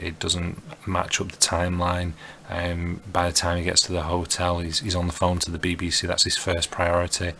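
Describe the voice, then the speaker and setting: A man's voice: sits low, vocal fry, sharp tone, slight background noise. A man giving opinionated commentary as an online video commentator, in an investigative style.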